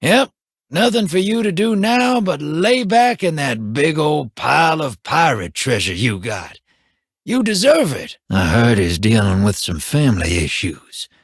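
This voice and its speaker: A cocky young scout whose husky voice swings lively between high and low. He talks casually and jokes at his own expense. The recording is close and dry. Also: measured pace, dry recording, heavily acted. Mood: playful. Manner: casual, joking, wry. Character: wry young human scout, easygoing and self-mocking.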